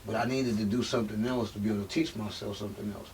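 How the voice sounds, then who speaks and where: A man's voice: lowish voice, fairly even intonation, racing, darkish tone. A man hosting a podcast, chatting casually and encouraging his guests. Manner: encouraging, with lots of agreeing interjections. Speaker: podcast host. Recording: distant mic with faint hiss.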